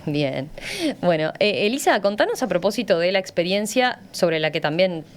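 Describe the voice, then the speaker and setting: A woman's voice: fairly low pitch, big pitch swings, normal speed. A woman with a Uruguayan accent hosting a conversational radio interview from a studio. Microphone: studio microphone.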